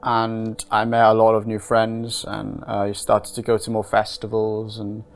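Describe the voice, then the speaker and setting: A man in his twenties, interviewed about his life, speaks in a calm, unhurried way with frequent hesitations. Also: on the low side, gravelly. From Wales and Norway. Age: 20s. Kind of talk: casual personal testimony.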